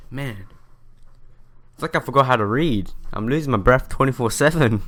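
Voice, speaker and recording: A man's voice: medium pitch, sharp tone. A young man reading visual novel narration aloud as a let's player. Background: music behind the voice.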